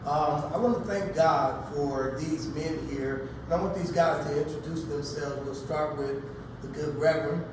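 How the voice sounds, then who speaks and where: A man hosting a panel discussion, asking questions in a measured, earnest way. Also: on the high side, steady tone. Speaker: host. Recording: echoey room, distant mic, background noise.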